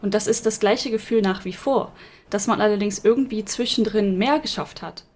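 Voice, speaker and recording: A woman's voice: mid-range voice, normal speed, a little mellow. A woman co-hosting a casual podcast, thinking aloud with many hesitations and restarts. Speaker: podcast co-host.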